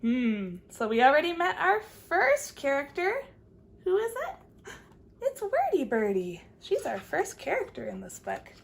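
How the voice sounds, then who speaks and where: Female kindergarten teacher for a read-aloud: high, very lively intonation, unhurried, encouraging questions. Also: gravelly. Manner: encouraging, expressive, addressing young children.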